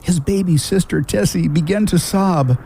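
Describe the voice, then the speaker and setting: A man's voice: high voice, moderate pace, gravelly, warm tone, breathy edge. A man narrating a scripted children's story as the narrator of a radio drama. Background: guitar music.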